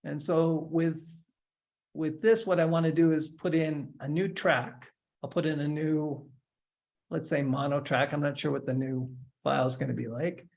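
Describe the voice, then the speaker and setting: Male instructor in his forties, casual tutorial delivery, step-by-step with light humor, slightly high voice. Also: fairly even intonation, moderate pace, vocal fry, darkish tone. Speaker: instructor giving a software demo. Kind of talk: screen-share tutorial, casual explanation.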